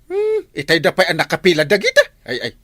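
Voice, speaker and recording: Actor as high-pitched, exasperated Ilocano village captain. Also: male voice, huge pitch swings, a little fast, sharp tone, dry recording, clean studio sound, heavily acted. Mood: agitated. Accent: Ilocano Filipino. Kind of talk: Ilocano village comedy drama acting.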